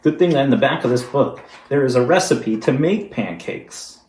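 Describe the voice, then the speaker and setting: A man, a teacher, reading a children's picture book aloud with slow, deliberate storytelling. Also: mid-range voice, animated, darkish tone, slight background noise. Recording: roomy room with background music.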